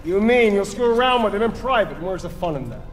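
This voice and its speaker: Brash, wildly animated showman villain. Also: sits high, brisk. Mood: mocking. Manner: sneering, showy, bellowing. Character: brash, mocking male villain, theatrical showman in a hat. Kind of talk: video game cutscene acting. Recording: loud music under the voice.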